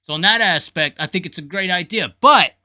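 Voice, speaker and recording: A man's voice: sits high, fairly quick, sharp tone. A man hosting a talk show, giving blunt, folksy, opinionated casual commentary with some swearing and repeated phrases for emphasis. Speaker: host of a motorsports talk show.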